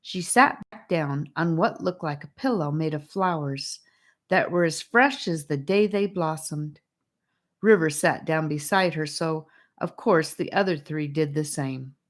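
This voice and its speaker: A woman reading a children's fantasy audiobook, telling the story gently and expressively. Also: deep voice, measured pace. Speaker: audiobook narrator.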